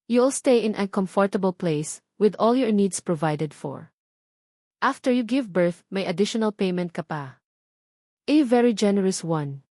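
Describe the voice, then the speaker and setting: A woman's voice: medium pitch, animated, unhurried, mellow, no echo, clean studio sound. A woman voicing a cold, calculating rich young businessman in a romance audio drama, with a clipped, emotionless manner. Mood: businesslike, commanding.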